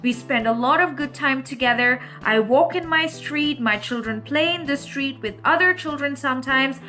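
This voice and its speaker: A woman teaching an English speaking-test lesson, speaking slowly and clearly and repeating each question for learners. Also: high-pitched, sharp tone, soft background music.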